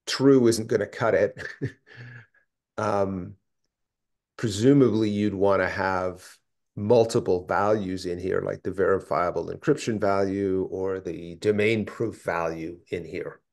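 A man with a fairly low voice talks through technical material on a group call. He presents step by step, pausing and rephrasing as he thinks aloud. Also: fairly even intonation, a little mellow. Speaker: meeting presenter. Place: indoor room, video call.

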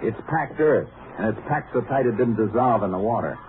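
An actor playing a shrewd, matter-of-fact Texas Ranger detective in a clipped 1950s radio drama style. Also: male voice, fairly low pitch, expressive, fairly quick, noisy background, close to an everyday voice. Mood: urgent, sure. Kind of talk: 1950s radio drama acting, narration and dialogue. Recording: muffled 1950s radio recording, music.

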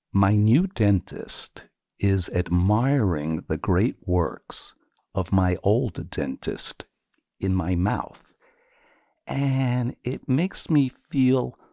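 Male poetry performer, slow and deliberate, highly varied intonation, theatrical phrasing, dry close sound. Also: a little mellow, dry recording. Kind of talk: dramatic poetry reading.

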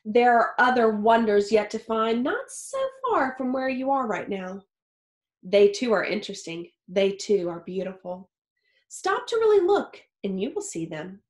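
A woman in her thirties reading a picture book aloud like a teacher, expressively and with deliberate phrasing for young listeners. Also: a little high, very animated. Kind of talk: expressive children's read-aloud. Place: small home office or study with wooden shelves. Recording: roomy, echoey indoor sound.

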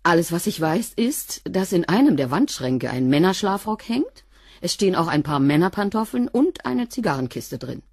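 A woman's voice: fairly low pitch, big pitch swings, moderate pace, darkish tone, no room sound, clean studio sound. An actress playing a curt, unfazed cleaning woman who answers bluntly and laconically in a radio crime drama. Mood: matter-of-fact.

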